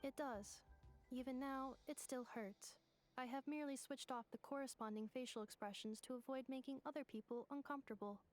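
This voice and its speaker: A fairly high female android voice, acted by a woman, polite and analytical. She speaks in formal, clinical, measured phrases like a haunted machine in a fantasy game. Soft music sits behind her. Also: steady tone, natural voice. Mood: subdued. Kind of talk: video game voice acting.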